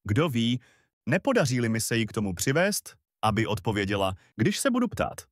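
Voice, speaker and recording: A man's voice: mid-range voice, sing-song, no room sound, studio quality, close to an everyday voice. A man voicing a clever, self-assured young journeyman in a storybook fairy tale. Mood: storytelling, clever. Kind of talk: storybook voice acting.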